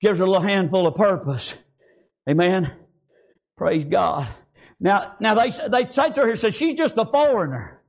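A man preaching a revival sermon, fervent and often shouting, with constant "praise God" and "amen". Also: high voice, lively intonation, unhurried, long pauses.

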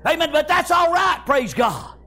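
A man with a Southern US accent preaching an impassioned revivalist sermon, exclaiming praise and often shouting, in stop-and-go phrases full of repeated interjections. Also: very high-pitched, sing-song, normal speed.

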